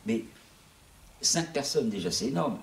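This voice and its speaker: A man's voice: medium pitch, animated, measured pace, vocal fry, a little hiss or hum. A man in his sixties running an online news outlet, talking casually to a small audience in a rambling way, with many restarts. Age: 60s. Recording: echoey room, voice from far off.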